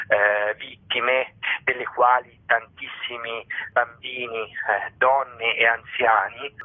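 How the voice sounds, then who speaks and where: A man interviewed as a theatre director, explaining in long, careful and rather formal sentences. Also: mid-range voice. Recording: band-limited phone-like line.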